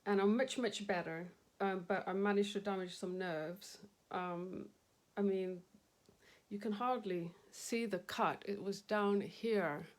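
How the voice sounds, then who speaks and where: A woman's voice: medium pitch, fairly even intonation, slow. A middle-aged woman with a slightly nasal voice, hosting a casual craft live stream, chatty and rambling, laughing often and greeting viewers by name. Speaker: craft livestream host.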